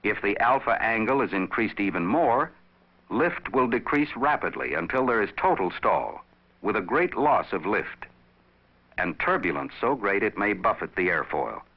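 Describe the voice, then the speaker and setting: A man reading scripted instructional narration for a training film in a measured, explanatory, didactic manner. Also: on the low side, sing-song. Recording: dull vintage training film soundtrack.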